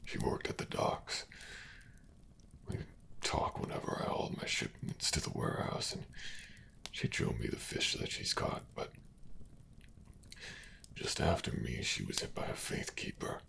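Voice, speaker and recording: Man acting very deep, gravelly dying miner. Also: animated, a bit bright, full character voice. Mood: weak, earnest. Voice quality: gravelly, breathy rasp. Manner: weak, halting, half-whispered pleading. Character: dying miner mutated by dark magic, weary, pleading. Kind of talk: fantasy audio drama acting.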